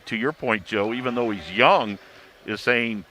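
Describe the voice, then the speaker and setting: A man's voice: mid-range voice, a little slow, pushed and bright. A man who works as a sports radio announcer, talking emphatically in a pre-game panel discussion. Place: sports arena. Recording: clear background noise, venue ambience.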